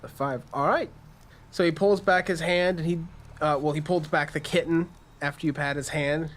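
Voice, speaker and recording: A man's voice: fairly high pitch, fairly even intonation, normal speed. A man serving as game master, casually improvising narration and rulings for a tabletop game.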